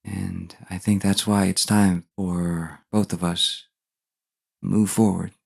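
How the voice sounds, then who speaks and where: A man acts as a departed lover's spirit in an audio drama, gentle and solemn. The voice sits low, heavily creaky and husky, as it reads a loving farewell letter. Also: level tone, takes it slowly, exaggerated voice. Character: gentle spirit of a dead partner, lovingly saying goodbye.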